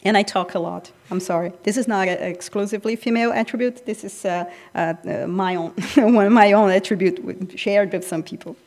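A woman's voice: medium pitch, fairly even intonation, fairly quick, few pauses, darkish tone. A woman academic speaking unscripted on a panel, reflective and hesitant, into a handheld microphone. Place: large hall, seated on panel stage. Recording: reverberant hall, handheld mic.

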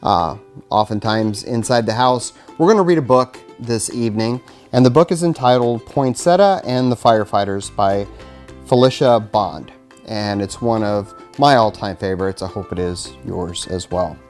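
A man's voice: lowish voice, moderate pace, a little mellow. Middle-aged American man, music behind. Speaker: fire chief, guest story reader. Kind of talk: reading a children's storybook aloud, addressing kids. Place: indoors in front of a curtain backdrop, studio-like setup.

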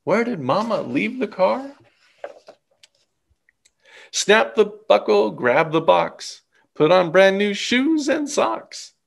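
A middle-aged man reading a children's story aloud in an expressive, deliberate way. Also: high voice, creaky. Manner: animated, deliberate. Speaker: picture book reader.

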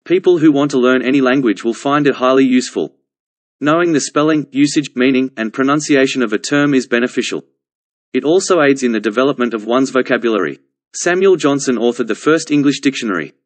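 A man reads a prepared passage aloud as a model answer for learners. His delivery is measured and carefully enunciated, at a medium pitch. The sound is very dry, with no room around it. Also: no room sound. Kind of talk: scripted read-aloud for English exam practice.

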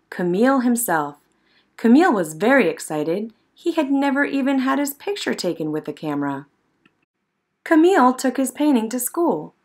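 The woman at story time in a primary classroom, reading a picture book aloud with measured expression, her pitch in the middle range. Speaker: storybook reader. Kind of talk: scripted narration, read-aloud of a children's picture book.

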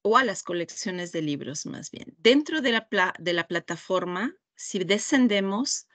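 Slow Mexican woman guiding a webinar. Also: mid-range voice, animated, fairly bright tone. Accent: Mexican Spanish. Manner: slow, deliberate, pausing often. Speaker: webinar trainer. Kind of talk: screen-share product demonstration. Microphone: video call.

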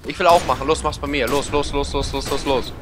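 A man's voice: on the high side, steady tone, a little fast. A man chatting with a friend during a co-op online game, goofy and teasing, full of questions. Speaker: co-op gaming partner. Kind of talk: casual co-op gaming chat. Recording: game music and gunfire behind the voice.

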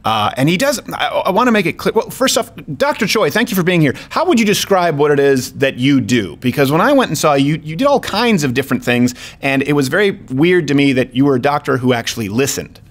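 A man in his thirties hosting a studio talk show, joking and quick as he interviews a guest. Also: fairly high pitch, very animated. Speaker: show host. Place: studio with brick wall and neon sign. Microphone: studio mic on boom, headphones.

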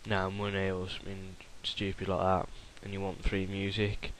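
A young man narrates a screen-recorded computer tutorial in a low, fairly flat voice. He talks loosely, with plenty of ums and restarts. A faint hiss and clicking sit under the recording. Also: normal speed, rough recording. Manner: rambling, informal, with many fillers and restarts. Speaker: software tutorial host.

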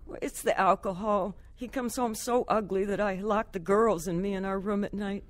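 A woman's voice: mid-range voice, steady tone, moderate pace, no echo, close to an everyday voice. An actress playing a troubled wife and mother who tells her life story in an earnest, confessional radio drama style. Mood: distressed. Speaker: lead actress narrating. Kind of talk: radio drama first-person narration.

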